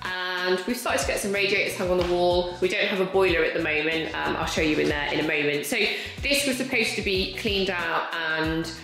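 A woman's voice: on the low side, gravelly, a bit bright, soft background music. A woman in her thirties renovating her home, talking candidly and matter-of-factly to camera. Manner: candid, matter-of-fact, brisk. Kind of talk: casual talk to camera. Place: large house under renovation, bare rooms indoors. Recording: echoey empty rooms.

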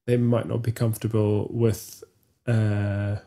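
A young man, a native Norwegian speaker and singer, co-hosting a casual video-call chat, explaining things in a measured way with hesitations. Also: lowish voice, level tone, warm tone. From Norway. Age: young adult. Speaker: podcast co-host, singer. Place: small room at home. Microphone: headphones with studio mic on video call.

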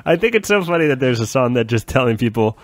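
A man's voice: medium pitch, very animated, fast, no room sound. A man hosting a comedy podcast, chatting casually and playfully with profanity and bits of silly improvised singing. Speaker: podcast host.

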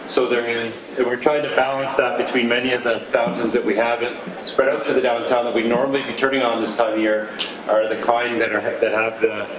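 Flat-voiced man on a meeting call. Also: mid-range voice. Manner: flat, halting explanation. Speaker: city staff member. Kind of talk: video meeting update. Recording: roomy distant video call audio with background noise.

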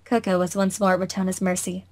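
A woman narrates a fantasy visual novel at a middle pitch. She reads it like a dramatic story, in an amateur fandub style, sometimes tripping over words. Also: flat delivery, fast talker.

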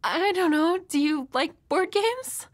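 A woman's voice: very high-pitched, expressive, takes it slowly, dry recording, clean studio sound, heavily acted. A woman playing a nervous, dorky young woman with a crush in an intimate roleplay audio, hesitant, stammering and apologetic. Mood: shy, flustered.

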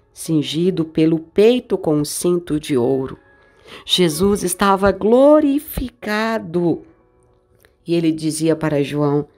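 A middle-aged Brazilian woman, a bishop, preaching a measured daily devotional sermon with emphatic rises and drops. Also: on the low side. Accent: Brazilian Portuguese. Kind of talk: slow devotional sermon over music. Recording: voice-over with soft background music.

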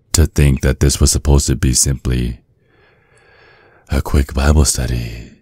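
A man playing a seductive, vengeful vampire in an ASMR roleplay, taunting in a sultry, husky, breathy voice. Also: rumbling low, warm tone, dry recording, heavily acted. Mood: sultry, mocking. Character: seductive, vengeful male vampire, former protégé of a priest.

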